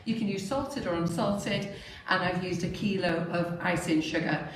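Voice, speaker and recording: A chatty woman with a squeaky voice, co-hosting a live cake decorating demo in a home kitchen and explaining each step plainly with frequent laughs. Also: moderate pace. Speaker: baking show co-host. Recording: echoey kitchen, far mic, hiss.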